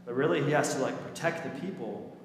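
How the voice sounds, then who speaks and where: A man around his thirties or forties with a slightly raspy voice, preaching as a pastor in an informal, lightly joking way. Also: mid-range voice, a little fast. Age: 30s or 40s. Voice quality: a little raspy. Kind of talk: sermon and children's message in church. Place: inside a church during a service. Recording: echoey church hall, noisy, long reverb.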